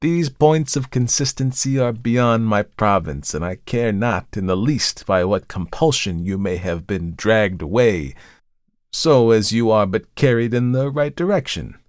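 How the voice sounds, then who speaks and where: A man voicing an uncanny devilish visitor who speaks politely, calmly and with kindly severity in a storybook reading. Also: lowish voice, no echo, clean studio sound. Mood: detached, urging. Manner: polite, measured, pleasant and unhurried. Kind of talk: dramatized literary storybook reading.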